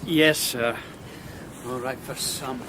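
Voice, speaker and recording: A man's voice: mid-range voice, steady tone, slow pace, lightly acted. An actor playing a hapless, plain-spoken junior police constable who moans his way through a radio crime drama. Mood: sheepish. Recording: noisy outdoor-scene radio drama recording.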